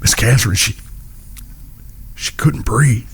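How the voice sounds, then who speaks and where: A man plays a husky outlaw, the steady eldest brother of a western gang. He speaks slowly and haltingly, weighed down by grief, in an audio drama style. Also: medium pitch, full character voice. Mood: grief-stricken.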